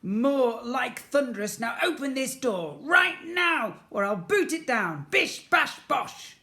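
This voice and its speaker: Man putting on a high-pitched furious bossy girl. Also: animated, slow pace, bright, forceful tone, exaggerated voice. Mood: angry, demanding. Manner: bellowing, threatening. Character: bossy furious bullying older sister, a girl.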